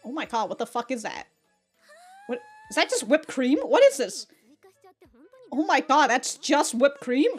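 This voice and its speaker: A woman's voice: sits high, very animated, measured pace, pauses often, soft background music. A woman giving casual, slangy reaction commentary as a video host, exclaiming and swearing freely.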